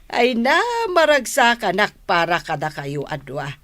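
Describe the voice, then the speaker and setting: An actress with an Ilocano accent playing a kindly older mother in a radio drama, affectionate and drawn-out. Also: lowish voice, big pitch swings, fairly bright tone. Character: kindly older mother fussing over grown daughter.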